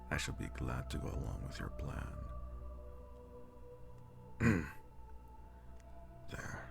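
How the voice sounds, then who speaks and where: A man playing a powerful, devoted demon lover in an intimate roleplay audio drama, speaking formally in a breathy, gravelly half-whisper. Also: very deep voice, exaggerated voice. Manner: slow, formal, archaic phrasing. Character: powerful male demon, devoted, jealous lover bound to a sorcerer. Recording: music behind the voice. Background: music.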